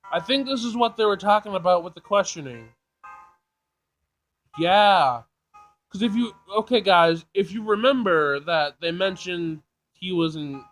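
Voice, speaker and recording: A man's voice: sits high, fairly bright tone. A man hosting a let's play, reading game text aloud expressively, stumbling and rereading, and adding his own commentary. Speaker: gaming video host. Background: game music.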